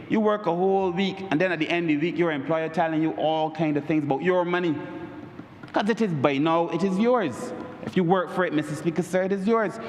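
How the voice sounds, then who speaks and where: A man with a Barbadian accent giving an emphatic parliamentary speech, repeatedly addressing the Speaker. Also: slight background noise. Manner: oratorical, repeats "Mister Speaker, sir" as a refrain; emphatic, rises in pitch on key points. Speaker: member of parliament. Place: large parliament chamber. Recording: echoey chamber with long reverb.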